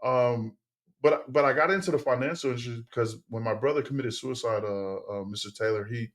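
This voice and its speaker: A man's voice: medium pitch, fairly quick. A middle-aged man whose first language is Tongan, telling his story as a podcast guest in a loose, rambling way with plenty of fillers and restarts. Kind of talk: casual interview storytelling.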